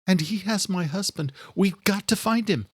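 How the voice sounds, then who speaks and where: A brave, anxious woman acted by a male narrator, pitched high and recorded very dry. She is urgent and defiant, a thriller audiobook heroine who insists on acting. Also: normal speed, husky, no room sound. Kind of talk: horror thriller audiobook character.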